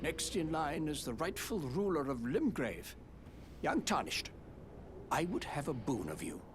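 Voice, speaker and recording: A man's voice: fairly high pitch, big pitch swings, measured pace, background noise. An actor playing a pompous, self-important nobleman in a fantasy game, haughty and theatrical in his delivery. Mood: condescending. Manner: haughty, theatrical, grandiose declamation. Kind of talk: scripted fantasy game acting. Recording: background music under the voice.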